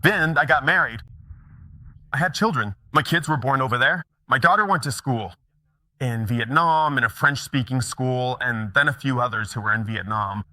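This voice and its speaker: A man's voice: medium pitch, normal speed, sharp tone, no echo. A middle-aged man telling stories in a conversational conference talk, often checking in with the audience. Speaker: mortgage broker giving a conference talk.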